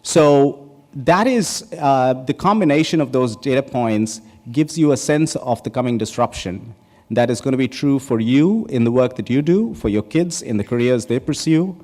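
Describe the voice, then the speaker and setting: A man's voice: mid-range voice, lively intonation, normal speed, a little mellow. A man from India in his forties giving an opening talk at a conference, casual and joking, with many ums and restarts. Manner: conversational, many ums and restarts, jokes with the audience. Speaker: keynote speaker. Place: large conference hall stage. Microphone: podium microphone. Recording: reverberant hall.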